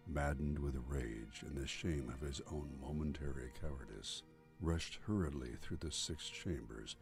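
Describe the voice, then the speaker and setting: A man narrating a gothic horror story in a solemn, theatrical, deliberate way, as a voice-over narrator. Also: a bass voice, a little mellow. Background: music.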